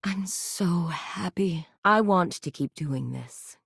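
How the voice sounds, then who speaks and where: An actress voicing a sultry, theatrical sentient sex toy in a video game, teasing and dramatic. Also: female voice, lowish voice, slow, vocal fry, husky, no room sound, full character voice. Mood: vulnerable. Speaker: game character. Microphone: close studio mic. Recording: dry studio voice recording.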